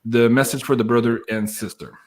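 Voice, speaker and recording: A man hosting a live online show in an upbeat, excitable, casual way, reading out viewer questions and announcements. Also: medium pitch, brisk.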